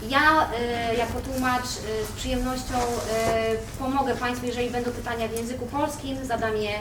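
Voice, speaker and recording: A woman's voice: on the high side, steady tone, brisk, crowd noise. A woman who is a native Polish speaker with a Polish accent, formally and somewhat hesitantly moderating a press conference and acting as translator. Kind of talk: announcing to a press room. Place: indoor press conference room. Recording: echoey room, distant mic, background noise.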